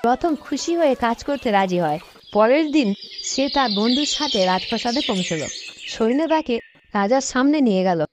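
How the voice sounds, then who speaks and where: A woman's voice: a little high, normal speed, music in the background. A woman narrating a cartoon folktale in a scripted storytelling manner. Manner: storytelling, expressive.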